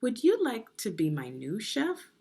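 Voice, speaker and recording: A woman voicing an expressive, gracious storybook queen who loves desserts and savours her words. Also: medium pitch, a little slow, vocal fry, husky, pitched above the performer's own voice, heavily acted.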